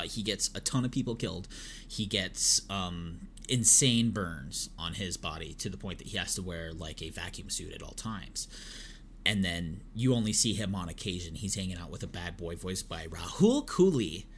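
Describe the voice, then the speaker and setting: Guy co-hosting a podcast, deep-ish voice, chatty and rambly, faint noise in the back. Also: expressive, normal speed. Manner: rambling retelling with many fillers. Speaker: podcast co-host. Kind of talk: casual chat.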